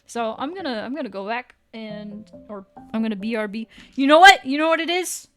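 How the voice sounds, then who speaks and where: Bright-voiced playful woman streamer. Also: on the high side. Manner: playful, teasing, swears casually. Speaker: livestreamer. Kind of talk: casual livestream chat with viewers.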